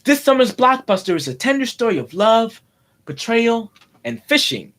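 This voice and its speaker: A man's voice: extremely high pitch, sing-song, normal speed, a bit bright, voice pushed up, exaggerated voice. A man playing a movie trailer announcer, theatrical and portentous, selling an overblown melodrama. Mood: melodramatic. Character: dramatic movie trailer announcer for an overblown love-triangle film.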